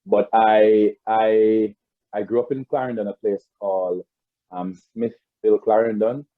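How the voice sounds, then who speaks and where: A man's voice: fairly low pitch, a little slow, dry recording. A man with a Jamaican accent, a guest in a casual interview, telling stories about growing up, rambling with frequent restarts over a video call. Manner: rambling, many restarts and repeated words. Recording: tinny phone-like call audio.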